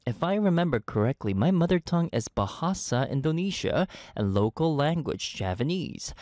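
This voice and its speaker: Lively-voiced male radio host. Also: on the high side, normal speed, dry recording, clean studio sound. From Canada. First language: Korean. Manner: chatty, friendly, laughs easily. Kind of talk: radio show, reading listener messages.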